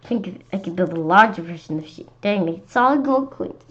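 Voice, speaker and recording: A woman voicing a menacing, greedy monster who shouts and draws out threats in a children's storybook read-aloud. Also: fairly low pitch, animated, fairly quick, highs cut off, natural voice. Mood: gloating.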